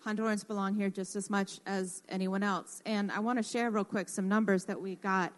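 A woman with a medium-pitched voice speaks as a panelist in an informal radio discussion. She is earnest and explanatory, pausing and picking her way through her points. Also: steady tone. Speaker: radio panelist who works in construction.

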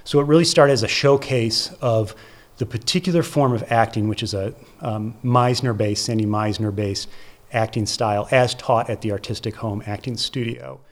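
American man explaining with measured emphasis. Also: medium pitch, fairly even intonation. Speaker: theater festival producer and curator, interview guest. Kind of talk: conversational explanatory interview answers.

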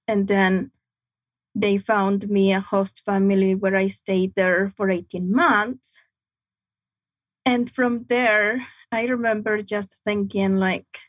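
Slow, halting young woman, second-language English. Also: medium pitch, no room sound. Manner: halting, reflective, frequent pauses. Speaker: guest. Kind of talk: personal testimony in an interview.

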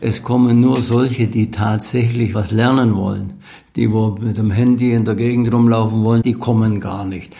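A man's voice: lowish voice, steady tone, moderate pace, vocal fry, mellow. A man who works as a workshop instructor, talking frankly and colloquially.

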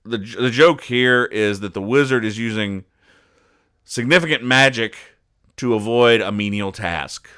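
A man hosting a comedy podcast, giving deadpan, mock-serious explanations with halting asides. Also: mid-range voice, smooth. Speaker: podcast host. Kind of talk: deadpan comedic commentary, recapping comic strips.

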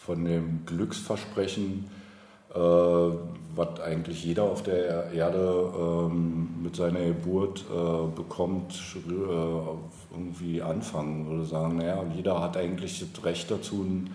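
A man's voice: low-pitched, level tone, a little slow, warm tone. A man speaking as a politician being interviewed, reflective and hesitant as he searches for words. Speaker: interview guest, local politician. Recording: roomy, echoey indoor sound.

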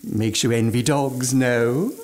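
An actor playing a genial, boastful British chef in the style of an old radio play, with effusive, gentlemanly phrasing. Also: male voice, mid-range voice, takes it slowly, natural voice. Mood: effusive hospitality. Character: genial, boastful gourmand chef, former mountaineer, now legless.

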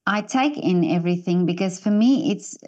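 A woman from South Africa hosting an interview, speaking hesitantly with many pauses and restarts. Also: lowish voice, darkish tone. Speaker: interview host. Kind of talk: interview conversation.

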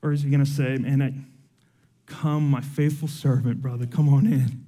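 A man's voice: medium pitch, level tone, moderate pace, warm tone, big-room sound. A young man born in Cuba, with no accent, preaching a sermon full of personal testimony in an earnest, emotional and conversational way.

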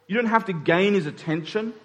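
A man preaches in a hall with long reverb. His voice sits a little high for a man, and he speaks earnestly, leaning on repeated phrases and deliberate pauses. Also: a bit bright, muffled recording. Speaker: pastor preaching to his congregation. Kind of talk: sermon. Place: church hall during a service.

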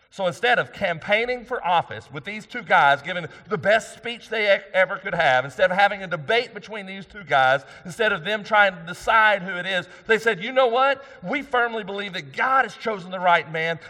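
Male pastor, slightly high voice, loud emphatic delivery, church sanctuary with long reverb. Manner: loud emphatic preaching, rising for key points. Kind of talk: sermon.

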